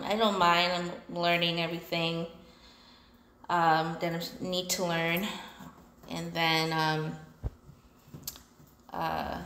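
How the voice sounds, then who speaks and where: A woman in her thirties chatting casually to camera in unhurried, short phrases with pauses, recorded on a phone in a large indoor room. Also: fairly low pitch, a bit bright. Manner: unhurried, pausing between short phrases while busy with chores. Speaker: solo entrepreneur and vlogger. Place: large indoor basement-like room with exposed pipes. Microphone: phone propped at about arm's length or more. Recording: echoey room.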